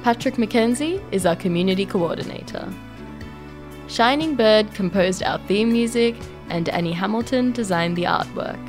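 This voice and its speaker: A woman's voice: medium pitch, lively intonation, normal speed. A woman presenting radio, reading scripted closing credits. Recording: music bed under voice. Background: theme music.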